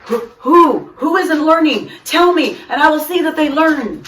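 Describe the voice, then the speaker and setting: Lady doing a super keen proud principal for a kids story, big lively swings, bit far from mic. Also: high voice, measured pace, slight background noise, voice pushed up. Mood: worried, insistent. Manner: emphatic, declamatory. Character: proud, overeager male school principal, booming with enthusiasm. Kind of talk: children's storybook character voice. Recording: roomy echo, distant microphone.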